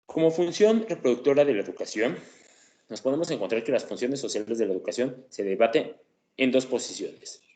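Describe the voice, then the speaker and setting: A man's voice: mid-range voice, expressive, fairly quick, mellow, roomy sound. A man explaining an education topic in a lecture, talking casually and checking in with tag questions. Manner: conversational, checks in with frequent tag questions and fillers. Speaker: teacher presenting a class topic.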